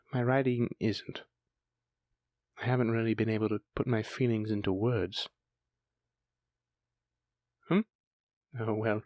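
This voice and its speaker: A man's voice: medium pitch, no room sound, studio quality, natural voice. Flustered, tender man acting as a bashful dragon boyfriend. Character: bashful, doting male dragon lover, bookish and flustered. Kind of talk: romantic fantasy audio roleplay, addressing the listener.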